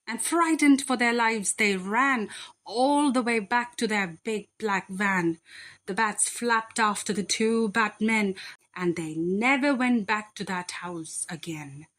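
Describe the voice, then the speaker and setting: Lady reading a rhyming kids bedtime story, really unhurried, expressive n dramatic, mid pitch voice. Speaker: children's story reader.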